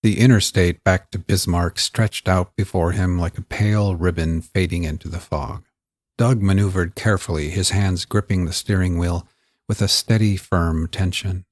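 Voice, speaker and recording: Low, husky male storyteller. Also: steady tone, normal speed, no room sound, studio quality. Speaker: podcast host and narrator. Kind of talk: scripted crime story narration; old-school mystery show presenting.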